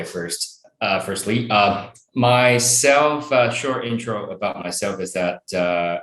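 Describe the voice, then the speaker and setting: A middle-aged man from Taiwan, a startup founder answering interview questions in long, animated run-on explanations with fillers. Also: low voice, reverberant, low-quality recording.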